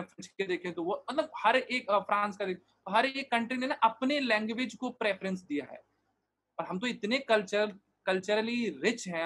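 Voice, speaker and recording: High voiced guy in an interview, earnest, lots of restarts and english words mixed in. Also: a bit bright. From Bihar, India. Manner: earnest, explains at length with many restarts and fillers; mixes English words and phrases into his speech. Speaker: interview guest, digital marketer. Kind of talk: casual interview talk.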